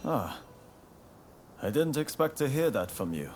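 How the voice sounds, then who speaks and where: An actor playing an honorable young samurai in a video game cutscene, speaking formally and solemnly in measured phrases. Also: male voice, mid-range voice, big pitch swings, a little hiss or hum. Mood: earnest, resolute. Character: earnest, honorable young male samurai of Choshu. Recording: music behind the voice.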